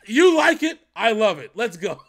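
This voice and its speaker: A man hosting a music reaction show, giving casual, excited, jokey commentary that rambles and repeats phrases. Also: high-pitched, normal speed.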